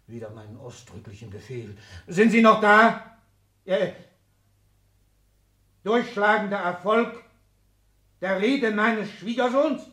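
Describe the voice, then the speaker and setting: A man's voice: high voice, expressive, slow pace, pauses often, a bit bright, heavily acted. An older man playing an ailing, domineering old industrialist in a 1960s German radio drama, commanding and declamatory with clipped, emphatic phrases. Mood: bitter, agitated. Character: ailing, domineering old industrialist patriarch, irascible, power-hungry.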